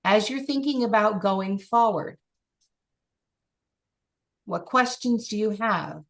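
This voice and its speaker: Woman coach, encouraging, emphatic, mid-pitched voice, group video call. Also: takes it slowly, a bit bright.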